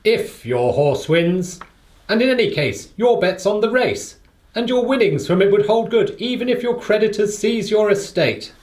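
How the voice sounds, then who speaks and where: A male actor as a keen gentleman sidekick in a radio play, good-natured and formal. The voice is high for a man and moves about eagerly in pitch. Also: animated, moderate pace. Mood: earnest. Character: keen, good-natured English gentleman, detective's loyal companion.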